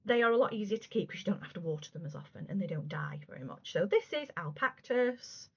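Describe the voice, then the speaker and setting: A woman in her thirties, a crafting vlogger, chatting casually and gushing about her work, her voice going a little hoarse. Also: mid-range voice, expressive, fast talker. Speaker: cross stitch vlogger. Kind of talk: casual show-and-tell chat. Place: room at home. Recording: slightly dull lo-fi home recording.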